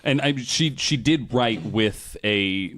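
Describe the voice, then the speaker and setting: A man's voice: mid-range voice, takes it slowly, a bit bright. A man hosting a podcast, telling stories in a casual, informal way with loose, sweary phrasing. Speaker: podcast host. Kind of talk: casual storytelling podcast talk.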